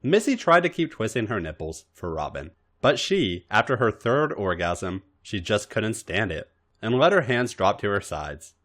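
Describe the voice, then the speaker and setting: A man narrates a written story for a podcast in a lowish voice. His reading is expressive, with pitch that rises and falls a great deal. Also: moderate pace.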